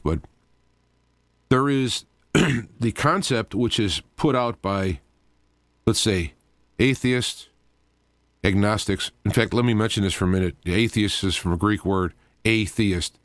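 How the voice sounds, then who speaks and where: A man's voice: on the low side, a little slow, dry recording, studio quality. A man hosting a live radio show in an informal, rambling monologue, explaining things as he goes with frequent asides.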